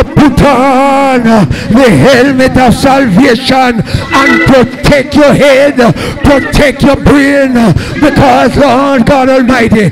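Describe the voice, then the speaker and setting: A middle-aged Jamaican man preaching a fiery sermon in a church hall, shouting and calling out hallelujahs into a handheld mic. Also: very high-pitched. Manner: shouting, peppered with hallelujahs, gesturing at a pulpit. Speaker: pastor. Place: large church hall with red curtains.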